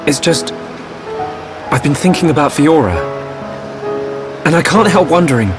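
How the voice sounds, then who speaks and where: Guy voicing a young game hero, earnest and hesitant, lively pitch, music behind. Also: normal speed, close to an everyday voice. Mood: troubled. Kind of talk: video game cutscene acting.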